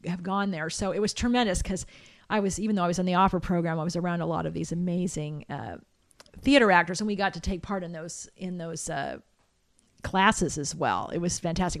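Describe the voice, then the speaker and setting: A middle-aged American woman, a soprano, telling her story in a relaxed interview, chatty and reflective with frequent restarts. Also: lowish voice, dry recording, studio quality. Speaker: interview guest, soprano singer.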